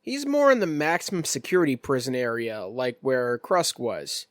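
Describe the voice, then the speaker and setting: A man's voice: mid-range voice, expressive, normal speed, no room sound, studio quality. A man running a tabletop roleplaying game as game master, narrating in a conversational style.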